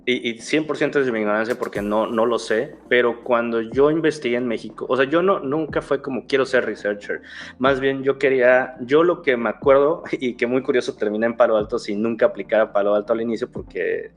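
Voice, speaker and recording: A man's voice: mid-range voice, fairly quick. A young Mexican man with a Mexican Spanish accent, talking casually as an interview guest, rambling, restarting phrases and adding fillers. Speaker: guest, security researcher.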